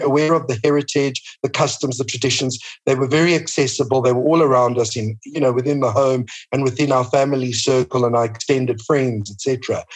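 A middle-aged man from Africa. He speaks as an interview guest, reflective and earnest, in long, unhurried sentences. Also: a little high, poor audio. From South Africa. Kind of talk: conversational interview.

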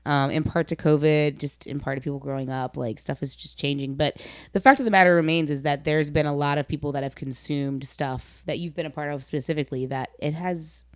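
Female podcast host, low register, dry close sound, casual and earnest interviewer. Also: fairly even intonation, a little fast, no room sound.

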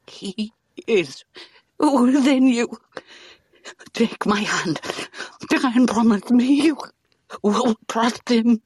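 Lady playing dying motherly space elder, breathy n labored, dry close recording, audio drama. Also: fairly high pitch, no room sound, heavily acted. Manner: halting, solemn, labored as if dying. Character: noble, self-sacrificing female alien elder, motherly, devout.

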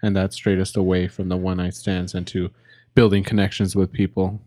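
A man's voice: sits low, level tone, moderate pace, mellow, no room sound, clean studio sound. A young man in his early twenties co-hosting a casual podcast, a little drunk, rambling with fillers and restarts. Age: young adult. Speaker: podcast co-host.

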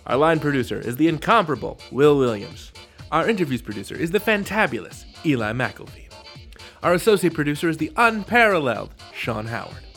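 Theatrical male podcast host, very animated pitch. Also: fairly quick, creaky, soft background music. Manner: theatrical, playful. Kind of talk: scripted hosting with playful asides.

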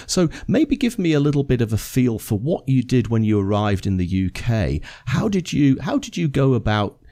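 A man's voice: medium pitch, big pitch swings, moderate pace, mellow. A man in his fifties with a northern English accent, hosting an interview podcast over a video call and chatting easily, often repeating words as he finds his phrasing. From England. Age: 50s. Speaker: podcast host.

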